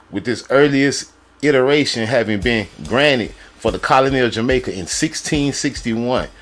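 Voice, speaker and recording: A man with a baritone voice hosts a stream and rants with excitable, profane humor. His pitch sits in the middle of the range. Music plays clearly beneath him. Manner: excitable, joking, slangy and profane. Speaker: streamer host. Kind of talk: loud ranting commentary. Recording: music playing underneath.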